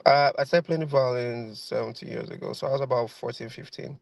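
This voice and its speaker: A man's voice: medium pitch, fairly quick, gravelly, no room sound, rough recording. A man in his thirties with a Nigerian accent, a guest violinist chatting over a video call, rambling with lots of fillers and repeated phrases. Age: 30s.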